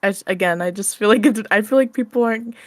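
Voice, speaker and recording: A woman's voice: medium pitch, fast talker, no echo. A young adult woman chatting casually as an interview guest, giggly and informal, often hedging what she says. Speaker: podcast guest, audio roleplay creator.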